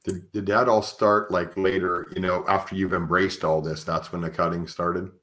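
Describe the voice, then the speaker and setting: Deep-voiced man interviewing tentatively. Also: flat delivery, normal speed, a bit bright. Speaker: podcast host. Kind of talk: conversational interviewing.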